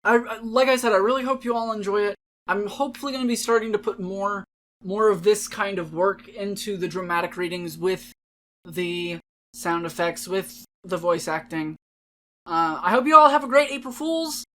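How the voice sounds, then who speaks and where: A woman's voice: mid-range voice, moderate pace, a bit bright, slight background noise. A woman giving a casual, rambling, self-deprecating outro as the host of a dramatic-reading channel.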